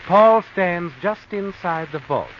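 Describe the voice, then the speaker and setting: An actor playing an eerie, all-knowing mystery narrator, taunting and dramatic, in 1940s radio style. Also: male voice, high-pitched, slow pace, a little hiss or hum. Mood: sly suspense. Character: eerie, knowing, sardonic omniscient narrator of a crime tale. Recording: muffled vintage radio recording with music.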